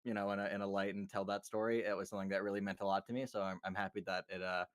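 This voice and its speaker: A man's voice: on the low side, little pitch movement, racing. A man voice actor speaking earnestly and heartfelt, stumbling and repeating himself. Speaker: voice actor on a panel.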